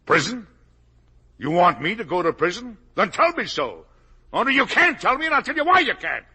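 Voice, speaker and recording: Gravelly, blustering old father. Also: sits high, sing-song, normal speed, exaggerated voice. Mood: furious, defiant. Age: older. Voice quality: gravelly, raspy. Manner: blustering, emphatic, swinging from muttered to shouted. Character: guilt-ridden, blustering old father, defensive businessman. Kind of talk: 1950s radio drama acting.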